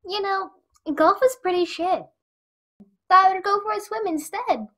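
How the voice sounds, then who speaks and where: A woman's voice: very high-pitched, normal speed, fairly bright tone. A woman playing a brash, sarcastic, foul-mouthed young friend in an audio drama. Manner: blunt, sarcastic.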